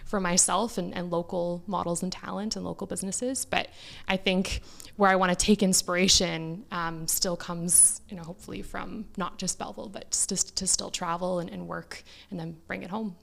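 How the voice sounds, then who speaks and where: A Canadian woman with a slightly low voice that often crackles into vocal fry. She talks casually, like a guest in an interview. Her manner is chatty, and she slips into quoting people as she explains things. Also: fairly even intonation, normal speed, fairly bright tone. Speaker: talent agent, interview guest.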